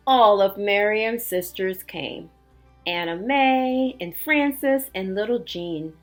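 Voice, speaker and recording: Slow-paced woman teacher reading to young children. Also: medium pitch. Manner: expressive, simple explanatory asides with check-in questions. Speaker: elementary school teacher. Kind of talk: read-aloud storytelling and word lesson for young children.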